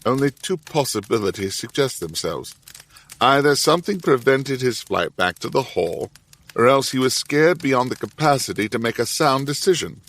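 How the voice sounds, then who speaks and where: An actor playing a thoughtful, self-doubting Victorian doctor, measured and dry in audio drama narration and dialogue. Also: male voice, mid-range voice, very animated, fairly bright tone. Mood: curious, probing.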